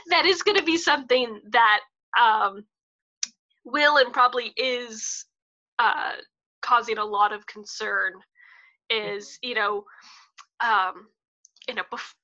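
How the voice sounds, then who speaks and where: A woman interviewing in a casual, halting, chatty way, recorded on muffled lo-fi audio. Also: fairly high pitch, sharp tone. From outside the European Union.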